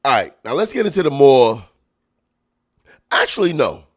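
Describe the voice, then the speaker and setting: Podcast guy with a stuffed-up nose, voice bouncing all over, laughs loud, mid-pitched. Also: moderate pace. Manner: animated, laughs loudly, chatty slang. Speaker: podcast host and stand-up comedian. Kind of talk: casual chat reacting to clips.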